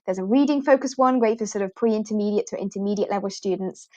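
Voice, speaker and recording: A woman's voice: mid-range voice, fast talker, mellow, no echo, muffled recording. Chatty British woman on a livestream. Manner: chatty and rambling, many fillers and little laughs. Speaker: online ESL teacher hosting a livestream. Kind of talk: informal live walkthrough.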